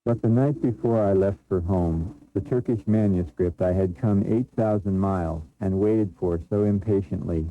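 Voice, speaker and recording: A man giving scripted first-person documentary narration in a measured, reflective way. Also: sits low. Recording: narrow lo-fi sound with faint music. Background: faint music.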